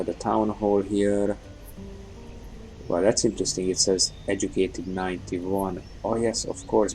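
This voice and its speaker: A man giving unscripted let's play commentary, haltingly thinking aloud as he goes. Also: lowish voice, gravelly, warm tone, rough recording. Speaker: gaming video host.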